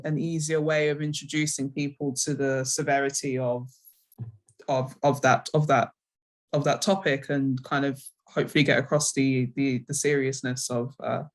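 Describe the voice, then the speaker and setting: Hesitant, thoughtful London woman. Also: very deep voice. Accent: London English. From London, England. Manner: hesitant, reflective, self-correcting. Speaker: interviewed guest, comic book writer. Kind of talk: conversational interview answers.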